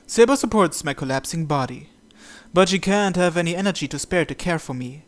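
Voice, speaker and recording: Clear, higher-pitched man reading narration. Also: expressive, a little fast. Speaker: video game player reading the script aloud. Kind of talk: narration reading of a visual novel.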